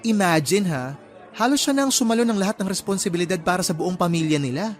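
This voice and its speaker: A man playing a chatty, teasing young friend in a radio drama, expressive and gossipy. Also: high voice, fast talker. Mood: teasing, coaxing. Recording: music behind the voice.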